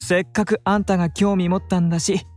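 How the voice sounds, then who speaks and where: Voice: mid-range voice, level tone, very fast, darkish tone, soft background music, natural voice. An actor playing a flamboyant, cheerful character with playful, effeminate phrasing in a Japanese visual novel. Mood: playful, intrigued. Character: Ray, flamboyant, cheerful man speaking in feminine style.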